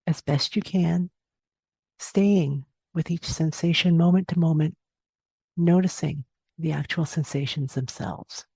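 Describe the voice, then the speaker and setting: A woman guiding a meditation as a teacher, giving gentle, unhurried instructions with long pauses between phrases. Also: sits low, vocal fry, husky, no room sound. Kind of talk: guided body scan meditation narration.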